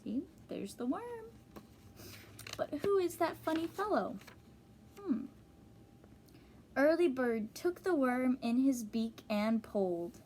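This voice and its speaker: A woman teacher reading a children's storybook aloud, pausing to explain and ask young listeners questions. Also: high voice, animated, darkish tone, a little hiss or hum.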